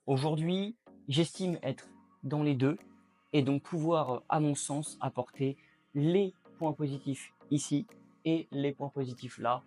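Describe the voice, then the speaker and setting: A man's voice: on the high side, slow, darkish tone, faint music behind. A man in his late twenties talking casually to the viewer as he explains ideas at a whiteboard, reflective and direct. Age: late 20s. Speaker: YouTuber.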